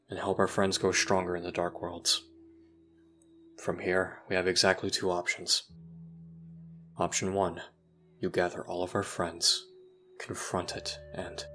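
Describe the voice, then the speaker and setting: A man's voice: low-pitched, sharp tone. A man acting in an audio roleplay as a jaded, sardonic human youth trapped in a time loop, talking conspiratorially and swearing casually.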